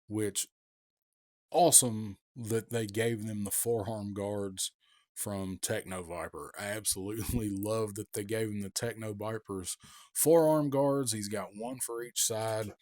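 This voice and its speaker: A man with a cold, raspy, giving a casual, rambling toy review with many ums and restarts. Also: fairly low pitch, a little mellow, dry recording, studio quality.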